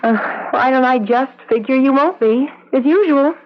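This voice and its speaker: A woman's voice: high voice, moderate pace, lightly acted. An actress playing a fretful, nagging policeman's wife in a plaintive, pressing way in a 1970s radio drama. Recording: dull 1970s radio recording.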